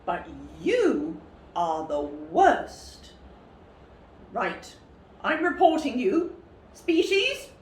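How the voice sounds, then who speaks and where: A woman's voice: fairly high pitch, huge pitch swings, extremely slow, long pauses, voice pushed up, full character voice. A woman voicing an indignant, furious male television presenter in a storybook, scolding emphatically. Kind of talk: storybook character voice. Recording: roomy, distant mic, faint noise.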